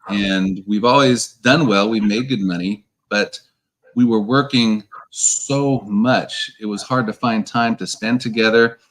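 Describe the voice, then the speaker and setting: Earnest man guest in his 40s, slightly low voice, relaxed storytelling. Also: low-quality recording. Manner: earnest, repeats words as he thinks. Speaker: interview guest. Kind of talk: casual online interview.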